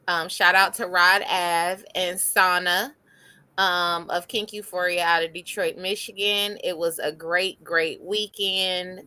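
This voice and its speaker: A woman co-hosting a casual adult chat show, telling a story in a relaxed way with lots of ums. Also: on the low side. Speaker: co-host.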